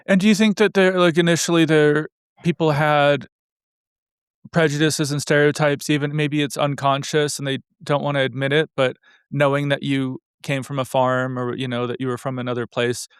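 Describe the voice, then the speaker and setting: A man's voice: a little high, little pitch movement, moderate pace, clear, resonant tone, no room sound, studio quality. A man hosting an interview, asking long, meandering questions full of hedges and fillers. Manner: rambling, hedging questions full of you know and like. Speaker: interviewer, show host. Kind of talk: casual interview conversation.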